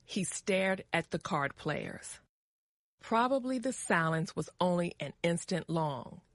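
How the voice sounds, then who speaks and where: A woman with an American accent narrating a story in a measured, carefully enunciated way for English learners. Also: lowish voice, animated, dry recording, studio quality.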